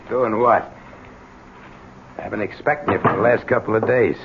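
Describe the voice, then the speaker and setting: A man's voice: fairly low pitch, fairly even intonation, measured pace. Old ex-convict father, bitter, wry, streetwise, 1970s radio play, muffled recording with faint noise. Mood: wry, resigned. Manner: rough streetwise slang, wry. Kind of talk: 1970s radio drama acting. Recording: dull, noisy old radio broadcast.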